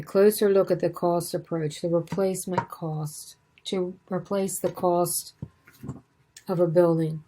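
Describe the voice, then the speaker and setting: A woman's voice: lowish voice, warm tone. A woman teaching real estate exam material in a casual, repetitive way, saying she is losing her voice. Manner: conversational, repeats points, self-corrects. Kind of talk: casual study-guide lesson.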